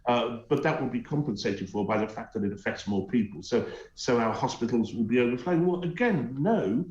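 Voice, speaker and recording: A man's voice: mid-range voice, animated, fairly quick, a little mellow, echoey room, dull audio. A middle-aged man with a Teesside accent giving emphatic, scornful commentary on a talk show, hesitating with many uhs. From North East England. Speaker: guest commentator. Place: remote link from home.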